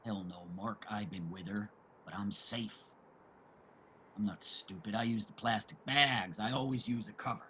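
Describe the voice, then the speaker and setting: An actor playing a brash, boastful, crude homeless ex-sailor with a rough voice in a play reading. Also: male voice, lowish voice, normal speed, pushed and bright, slight background noise. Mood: scornful, confiding. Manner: combative, crude street talker. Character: brash, boastful, gossiping homeless ex-merchant-marine man. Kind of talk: theatrical table reading of a stage play.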